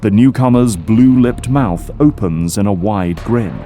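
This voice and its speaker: Male narrator for audio drama, dark mellow tone, measured storytelling over faint music. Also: on the low side.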